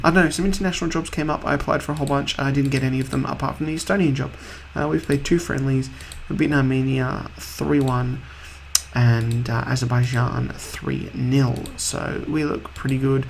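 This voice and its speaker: A man hosting a video game let's-play in a casual, rambling way, slightly husky from a cold. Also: medium pitch, a little hiss or hum. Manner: rambling, offhand, chatty.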